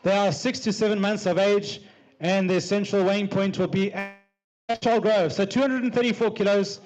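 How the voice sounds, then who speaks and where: A man calling bids as a livestock auctioneer in a rapid, repetitive, rhythmic chant. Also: high voice, steady tone, darkish tone, soft background music, low-quality recording.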